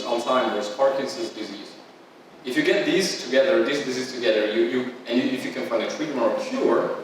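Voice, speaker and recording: A man, a founder, giving a loose welcome speech at an event, full of "like" and "uh". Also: medium pitch. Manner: rambling, heavy use of "like" and "uh". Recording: echoey hall, distant mic, faint hum.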